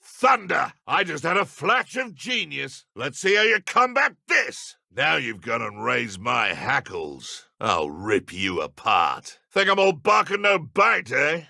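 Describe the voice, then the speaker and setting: An actor voicing a menacing, taunting dark wizard poacher in a video game, with a British accent and a gravelly, theatrical, sneering delivery. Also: male voice, a little high, huge pitch swings, takes it slowly, few pauses, no echo, studio quality, exaggerated voice. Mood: gloating taunts. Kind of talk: video game combat voice lines.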